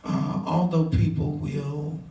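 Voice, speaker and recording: Man, 20s, preacher, hesitant, fillers, gravelly, far from mic, echoey room. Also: fairly high pitch, level tone, takes it slowly. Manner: hesitant, many fillers, soft laughs. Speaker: interviewee, preacher. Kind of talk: casual Q&A interview.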